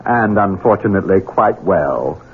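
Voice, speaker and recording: A deep, sardonic villain for a 1970s radio mystery. He is a cold, scheming estate master who orders people about with silky menace. The sound is a dull, noisy old broadcast. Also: expressive, normal speed. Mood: smug, sardonic. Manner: sardonic, domineering, softly menacing. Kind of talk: 1970s radio drama acting.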